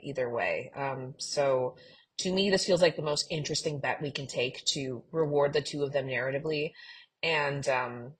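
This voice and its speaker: Female co-host, breathy and slightly low-pitched, informal, earnest and emphatic conversation. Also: a bit bright, low-quality recording. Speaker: podcast co-host. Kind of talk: casual discussion of a fiction podcast.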